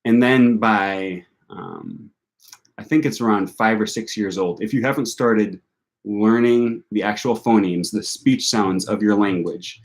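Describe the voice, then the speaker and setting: Young male host, northern US accent, low-ish voice, loose chatty delivery with lots of fillers and self-corrections. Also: expressive, measured pace. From United States. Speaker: language learning channel host. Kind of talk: casual answer to viewer questions.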